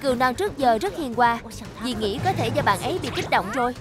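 A woman voicing a kindly aunt who gently explains things to children in a dubbed cartoon. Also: fairly high pitch, expressive, quick pace, music in the background, close to an everyday voice. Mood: calm, explaining.

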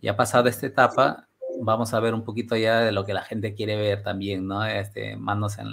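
A man's voice: fairly low pitch, level tone, normal speed, gravelly, a bit bright. A middle-aged man hosting a casual video-call interview on a headset, talking reflectively and slipping into Portuguese-flavored Spanish. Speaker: podcast host. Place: home studio room.

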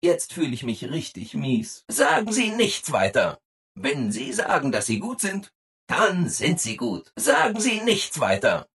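A man's voice: a little high, wildly swooping pitch, unhurried, creaky, fairly bright tone, slightly rough, heavily acted. A man playing a relentlessly cheerful, obliging robot assistant in a video game, with an overeager, singsong delivery. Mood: apologetically cheery. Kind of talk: scripted video game dialogue.

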